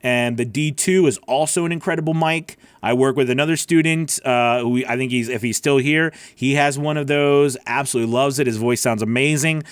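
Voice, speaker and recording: A man's voice: medium pitch, fairly quick, hardly any pauses, clear, resonant tone, no room sound. A man hosting a casual livestream Q&A as a voice-over coach, rambling with many fillers and restarts.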